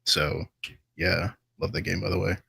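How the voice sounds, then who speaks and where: A man chatting casually as a panel guest in a gravelly, husky voice. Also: low voice, steady tone, normal speed, sharp tone, dry recording, studio quality. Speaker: voice actor on a podcast panel. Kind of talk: casual chat.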